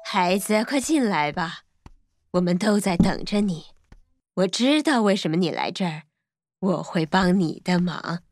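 A gentle cartoon witch with a lowish, soft, calm voice, as a woman puts her on. She speaks in a quiet, welcoming way. The sound is dry. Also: measured pace, no echo. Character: kind, helpful woman witch.

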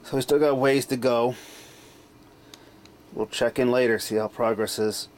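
A man's voice: mid-range voice, fairly even intonation, a little slow. A man casually narrating a hands-on demo in an offhand, matter-of-fact way, recorded indoors on a handheld camera. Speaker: hobbyist vlogger. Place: dim indoor room at home. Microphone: camera mic, held by speaker. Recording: clearly noisy handheld camera audio.

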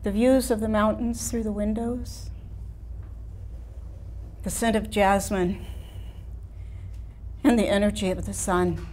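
A woman's voice: mid-range voice, steady tone, darkish tone, slight background noise, close to an everyday voice. A woman playing a wistful, ailing artist in her late seventies in a theatrical staged reading. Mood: wistful, sorrowful. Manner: slow, deliberate, poetic delivery with pauses. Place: room of a house, seated before an audience.

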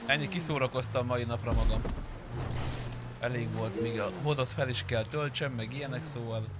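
A man live-streaming a roleplay game, chatting casually with a blunt, sweary manner. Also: medium pitch. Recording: clear background noise, vehicle sounds.

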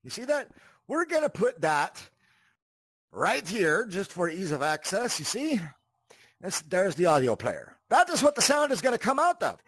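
High-pitched man with very animated delivery. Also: normal speed, slightly rough, no room sound. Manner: rambling, repeats words, checks in with "right?" and "you see". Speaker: host of a video game tutorial channel. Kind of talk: casual spoken tutorial with asides.

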